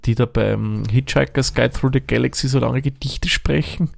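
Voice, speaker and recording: A man's voice: medium pitch, fairly even intonation, normal speed, creaky. A man, co-hosting a casual beer tasting chat, rambling and thinking aloud. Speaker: beer podcast co-host.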